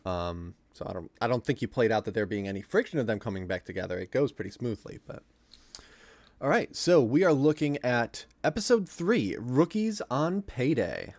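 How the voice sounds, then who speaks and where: A man's voice: medium pitch, very animated, moderate pace, dry recording. A man co-hosting a casual commentary, talking things over in a conversational way. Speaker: commentary co-host.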